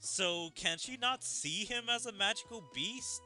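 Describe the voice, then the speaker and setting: A man doing casual gaming commentary as a streamer, with an exasperated, animated delivery over game music. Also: high-pitched, a little slow. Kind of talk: casual game playthrough, reading dialogue aloud.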